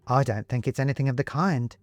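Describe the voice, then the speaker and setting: A man voicing a fussy little ghost mother in a storybook, prim, gently pointed and nagging. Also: medium pitch, quick pace, no room sound, faint music behind, natural voice. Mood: insistent, prying.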